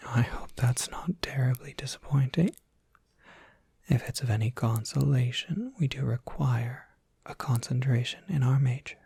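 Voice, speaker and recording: ASMR performer as courteous professor, husky whisper, creaky edges, formal measured phrasing, very close and dry roleplay sound. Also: mid-range voice, a little mellow, dry recording. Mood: explanatory, gentle. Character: soft-spoken, courteous university professor welcoming a new student. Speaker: professor overseeing a college major.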